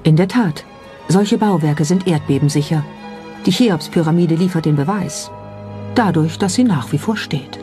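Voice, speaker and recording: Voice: medium pitch, moderate pace, mellow. Documentary narrator over music. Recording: music behind the voice. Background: clearly audible background music.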